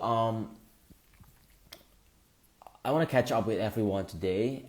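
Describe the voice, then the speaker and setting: A man, hosting a casual live stream, greeting viewers and talking in halting bursts with lots of fillers. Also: lowish voice, a little mellow. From Indonesia. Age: 20s.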